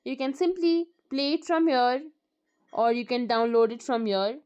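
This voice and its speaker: A woman narrating a scripted step-by-step software tutorial in short, plain instructional directions. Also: high-pitched, fairly even intonation, unhurried, clear, resonant tone, lo-fi sound.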